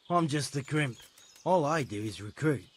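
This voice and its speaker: An actor voicing a brusque, sly bandit recruiter in a medieval video game, offhand and needling. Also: male voice, medium pitch, expressive, slow pace, husky. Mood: offhand, mocking. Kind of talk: video game cutscene acting.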